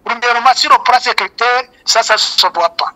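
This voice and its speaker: A man's voice: very high-pitched, brisk, bright, forceful tone, low-quality recording. An older man with a Rwandan accent, a native Kinyarwanda speaker, giving emphatic, repetitive political commentary as an interview guest over a phone. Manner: emphatic, repeats key points. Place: remote call, shown as a photo.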